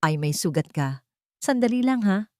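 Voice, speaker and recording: A woman voicing a kind, calm stranger tending a hurt child with tender concern. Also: mid-range voice, moderate pace, no room sound, clean studio sound, natural voice.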